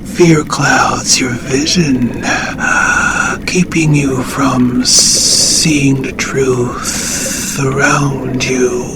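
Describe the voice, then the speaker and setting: A man's voice: mid-range voice, fairly even intonation, heavy background noise. A man playing a giant, wise old water dragon in an audio drama, speaking in a solemn, portentous manner. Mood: grave, admonishing. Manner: slow, solemn, portentous delivery.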